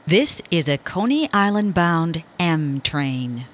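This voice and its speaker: A woman reads scripted subway announcements as a recorded train announcer, in a measured, formal, clearly enunciated manner. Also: low-pitched, very animated, dull audio.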